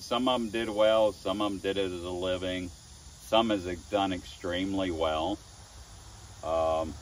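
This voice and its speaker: Older man, sixties, low-voiced wood carver chatting outdoors, slightly distant from the phone mic, faint outdoor hum. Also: a bit bright. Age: 60s. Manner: folksy, chuckling, self-deprecating storyteller. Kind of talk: casual talk to camera. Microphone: phone camera at a distance.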